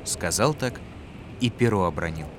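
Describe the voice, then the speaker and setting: A man narrating a folk tale in a calm, rhythmic storytelling voice. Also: deep voice, normal speed, background noise. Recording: clear music behind.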